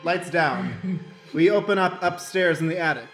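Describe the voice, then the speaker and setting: A man's voice: fairly high pitch, normal speed, fairly bright tone. Echoey male radio play narrator. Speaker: narrator and stage manager. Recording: roomy echo, faint music behind.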